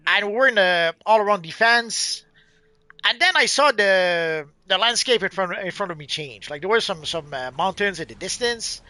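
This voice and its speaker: Hesitant high-voiced man. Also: animated, normal speed, sharp tone, no room sound. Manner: hesitant, many "uh" fillers and repeated words. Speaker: stream co-host. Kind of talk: casual livestream chat.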